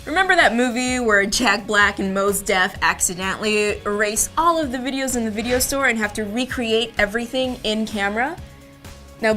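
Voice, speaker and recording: Bright, higher-voiced woman presenting a web show. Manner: wry, playful asides. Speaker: host of an online video show. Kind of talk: scripted, jokey presenting about animation videos.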